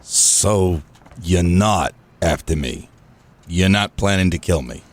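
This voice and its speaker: A man plays an aging small-time crook with a very deep voice. He is gruff yet sentimental, a dry-humored absent father out of a comic noir radio play. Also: slow. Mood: wary.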